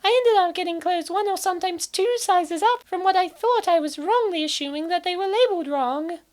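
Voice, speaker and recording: Woman playing very high-voiced, naive college girl. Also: brisk. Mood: puzzled, indignant. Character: cheerful naive college woman narrating her weight gain. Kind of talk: comic dub character acting with narration.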